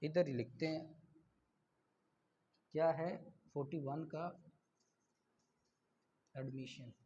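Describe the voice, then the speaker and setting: A man's voice: on the high side, takes it slowly, warm tone, highs cut off. A man with a Pakistani accent teaching an exam-prep class, repeating key terms and answering his own questions in a didactic way. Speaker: law teacher. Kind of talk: exam-prep lecture, reading questions and explaining. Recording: long echoing reverb of a hall.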